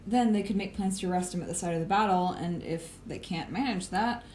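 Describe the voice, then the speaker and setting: A woman's voice: on the low side, a little fast, darkish tone, lightly acted. A woman acting a sardonic, matter-of-fact superpowered team planner in an audio drama. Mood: confident, plotting. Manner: casual, swearing, matter-of-fact. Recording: clear background noise.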